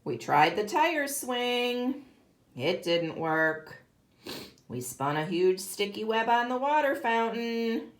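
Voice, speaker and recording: A woman kindergarten teacher reading a picture book aloud expressively to young children, pausing to ask them questions. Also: on the high side, big pitch swings. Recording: roomy, echoey sound.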